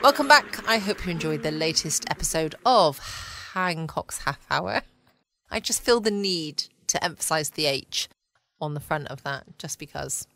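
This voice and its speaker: A woman's voice: sits low, animated, normal speed, sharp tone, dry recording. A woman casually hosting a late-night bedtime podcast, recorded at home. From United Kingdom. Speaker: podcast host. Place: at home, close dry recording.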